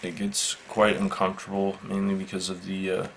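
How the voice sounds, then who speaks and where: A man giving a casual, unscripted product demonstration in a matter-of-fact, halting, measured way. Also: sits low, completely flat delivery, a bit bright, low-quality recording. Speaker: product reviewer. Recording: faint background noise.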